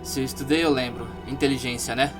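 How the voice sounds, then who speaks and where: A Brazilian man talks as a player in a fantasy role-playing session. His delivery is flat and measured, at a medium pitch, and loud music plays behind him. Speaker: tabletop RPG player. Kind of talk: in-game talk, partly in character.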